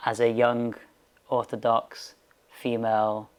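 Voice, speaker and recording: A woman hosting a podcast, relaxed, with short encouraging replies. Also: very deep voice, takes it slowly. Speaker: podcast host. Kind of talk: casual interview.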